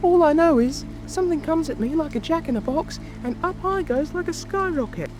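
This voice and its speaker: A woman voicing a small, feeble, flustered male lizard with a squeaky voice in a dramatic audiobook. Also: expressive, a little fast, poor audio. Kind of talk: dramatic audiobook character acting. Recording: music and rain ambience behind.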